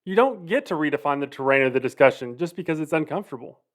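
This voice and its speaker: A man's voice: on the high side, lively intonation, fast. A man delivering scripted analytical commentary as a show host, in a declarative, critical manner.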